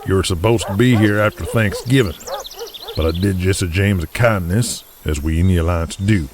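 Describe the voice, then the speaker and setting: Man acting a low-voiced folksy Texan wrestling boss. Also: a crowd in the background, natural voice. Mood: firm, persuasive. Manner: folksy, deliberate speechifying. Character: gruff older Texan wrestling promoter, shrewd and folksy. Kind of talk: audio drama acting.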